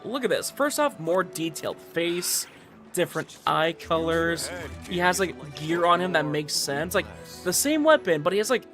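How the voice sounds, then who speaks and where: A male game streamer with a fairly high, lively voice. He rambles excitedly in casual livestream chat, circling back to repeat his points, with faint music behind him. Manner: rambling, excitable, repetitive emphasis.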